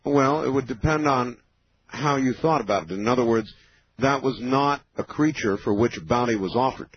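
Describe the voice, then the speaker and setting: A man of fifty hosting a late-night call-in radio show, explaining in a measured way with many pauses. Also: mid-range voice, breathy edge. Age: 50s. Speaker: radio talk show host. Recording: dull lo-fi radio broadcast.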